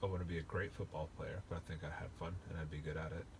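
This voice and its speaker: A man's voice: very deep voice, fairly even intonation, fast. A man talking casually and jokingly, chatting along as a co-host. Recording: noisy room ambience.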